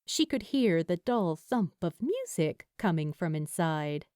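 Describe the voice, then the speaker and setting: Female story narrator, slow pace, lively intonation, dry close sound. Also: fairly low pitch, clear, resonant tone, no echo. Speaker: audiobook-style fan fiction narrator.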